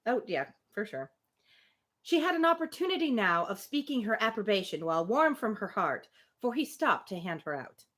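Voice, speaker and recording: A woman's voice: medium pitch, normal speed. A woman hosting a live reading of a classic novel, reading expressively with casual, amused asides. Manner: expressive reading, breaks off for informal amused commentary. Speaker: host reading a novel aloud on a livestream.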